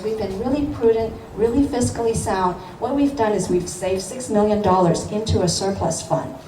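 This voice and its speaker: A woman's voice: medium pitch, far from the mic. A middle-aged woman, a city council member, gives an earnest campaign speech full of fillers and restarts. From born in Hong Kong, grew up in Los Angeles. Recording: echoey room, voice from afar, noisy.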